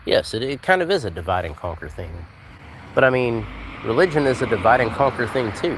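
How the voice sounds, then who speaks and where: A man's voice: mid-range voice, animated, brisk, noisy background. A man in his forties chatting on a casual live stream while walking outdoors at night, easygoing and rambling, answering viewers by name. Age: 40s. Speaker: livestream host.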